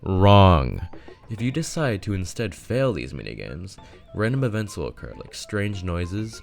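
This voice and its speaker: A man with a low voice delivers a scripted video game review. He narrates in video essay style, with music playing behind him. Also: creaky, a little mellow.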